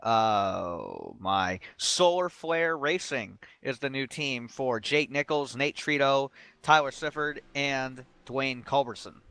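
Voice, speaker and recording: A man's voice: medium pitch, slow, bright, forceful tone, smooth, no room sound. A man doing live sim racing commentary as a host, mixing analysis with casual banter.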